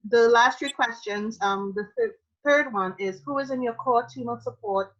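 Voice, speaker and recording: A woman's voice: on the high side, normal speed, highs cut off. A woman with a Trinidadian accent, interviewing a guest in a casual live-streamed chat, speaking with energy and enthusiasm and sometimes slipping into preaching.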